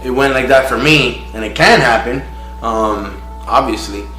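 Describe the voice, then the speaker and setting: A man from Miami sharing his personal recovery testimony in a frank, halting way, with many "you know" fillers. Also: medium pitch, sharp tone. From Miami, United States. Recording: roomy echo with faint music.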